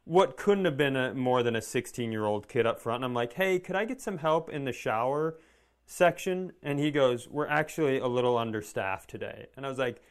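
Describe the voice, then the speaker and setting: A man's voice: mid-range voice, brisk. A man co-hosting a comedy podcast, telling stories in casual chat with sarcasm, swearing and acted-out dialogue.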